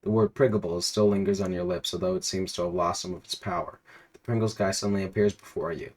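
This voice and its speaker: A man with a fairly low voice reads absurd interactive fiction aloud. He tells it theatrically, leaning on the words with emphasis like a storyteller. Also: steady tone, fast talker.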